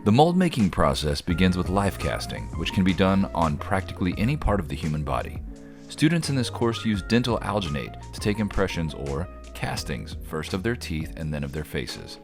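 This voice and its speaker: A man reading scripted promotional narration in a measured, formal way. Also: low-pitched, sing-song. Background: music under the voice.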